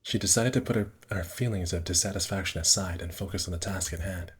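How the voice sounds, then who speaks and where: A man streaming a visual novel, reading the narration aloud in a halting, casual way with stumbles and asides. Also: low voice, husky, soft background music.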